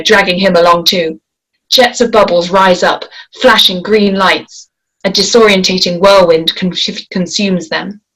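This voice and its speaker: A woman reading a screenplay aloud in a measured, descriptive way, giving action lines and scene headings. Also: on the low side, a bit bright, rough recording. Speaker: script reader. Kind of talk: screenplay table read.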